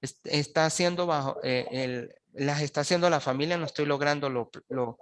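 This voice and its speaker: A man's voice: fairly high pitch, normal speed. A man teaching an online postgraduate class, talking with students in a hesitant way and often checking in with "¿sí?". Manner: hesitant, restarts words, frequent checks with "¿sí?". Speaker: university lecturer. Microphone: video call.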